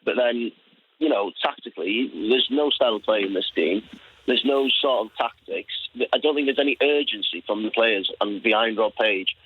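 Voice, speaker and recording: A man's voice: lowish voice, moderate pace, slight background noise. A young Welsh man who grew up speaking Welsh first, calling in to a football phone-in and talking earnestly with many restarts. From Wales. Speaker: caller. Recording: tinny phone line.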